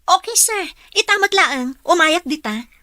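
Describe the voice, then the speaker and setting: An eager young office hopeful in a radio drama, with a high, bright voice. She is polite and respectful, speaks with a Filipino accent and sounds close and dry. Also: lively intonation, measured pace, dry recording, exaggerated voice. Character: eager, polite young woman graduate seeking office work. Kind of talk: Ilocano radio drama acting.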